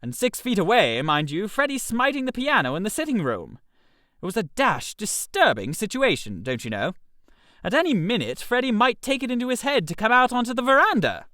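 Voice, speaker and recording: A man reads a comic story in a high, very animated voice. He is chatty and expressive, playing the idle gentleman telling his own tale, and the sound is close and dry. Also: dry recording. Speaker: audio story narrator. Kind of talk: scripted comic first-person story narration.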